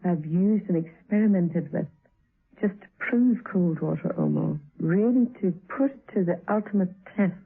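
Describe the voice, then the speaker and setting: High, creaky man giving testimonial. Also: normal speed. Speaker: product testimonial speaker. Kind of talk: advertising testimonial, conversational. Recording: tinny vintage radio recording.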